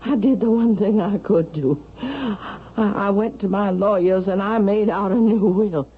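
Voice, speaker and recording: An actress playing a domineering, melodramatic old widow in a radio drama, with a husky voice and an imperious, theatrical delivery. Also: female voice, medium pitch, a little slow. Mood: cold, pointed. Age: older. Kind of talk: 1970s radio drama acting. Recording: muffled, lo-fi vintage radio recording.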